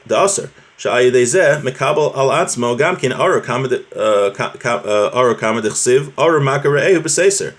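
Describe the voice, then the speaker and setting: Man teaching a religious text informally. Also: medium pitch. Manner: explanatory, with rhetorical check-ins. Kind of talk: informal religious text lesson, reading and explaining.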